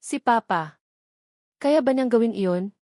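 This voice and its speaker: A woman's voice: fairly high pitch, animated, slow, dark tone, dry recording, clean studio sound. A woman voicing an emotional, remorseful young wife in a romance audio drama. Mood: afraid.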